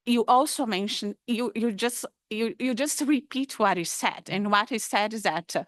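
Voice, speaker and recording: A woman's voice: medium pitch, fairly bright tone, no echo, studio quality. A woman putting a pointed, insistent question to a conference speaker, speaking off the cuff and repeating words for emphasis. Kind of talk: spontaneous, argumentative question at a conference.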